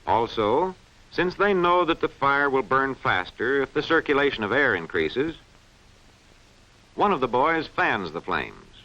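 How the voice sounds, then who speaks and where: A man reads scripted instructional film narration in a clearly enunciated, didactic way. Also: mid-range voice, big pitch swings, unhurried, pushed and bright. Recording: dull, band-limited vintage film soundtrack.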